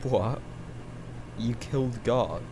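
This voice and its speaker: A man's voice: medium pitch, takes it slowly, noisy background, lightly acted. Man acting frightened, defiant teenage boy. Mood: shocked disbelief. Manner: pleading, disbelieving. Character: frightened, defiant seventeen-year-old boy facing his future self. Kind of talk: audio drama acting.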